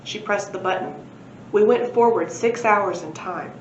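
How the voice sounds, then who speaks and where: A woman's voice: medium pitch, unhurried. A woman reading a children's story aloud as a library presenter, expressively, with casual asides. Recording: roomy, muffled, faint background noise.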